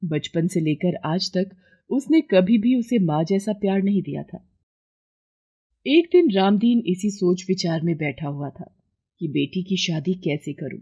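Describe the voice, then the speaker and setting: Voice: mid-range voice, expressive, measured pace, mellow, husky, muffled recording. A narrator reading a scripted moral bedtime story.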